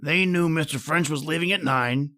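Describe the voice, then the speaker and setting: A man's voice: on the high side, normal speed, sharp tone. An actor playing a matter-of-fact male investigator in an old-time radio thriller, knowingly explaining a crime.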